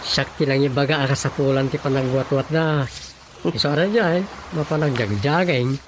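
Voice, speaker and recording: A man's voice: medium pitch, moderate pace, lightly acted. A man with an Ilocano accent playing a curious village companion in a radio drama comedy. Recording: faint noisy ambience behind.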